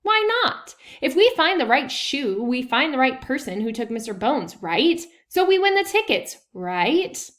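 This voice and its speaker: A woman voicing an eager, confident schoolboy detective in a children's storybook, animated and emphatic. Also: sits high, unhurried, hardly any pauses, voice pushed up, full character voice. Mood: excited. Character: eager, confident schoolboy amateur detective who loves food.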